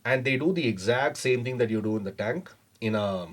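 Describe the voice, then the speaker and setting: A man, a startup founder on a podcast, telling his story in a measured way with lots of fillers and hedges. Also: mid-range voice. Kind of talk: casual interview storytelling.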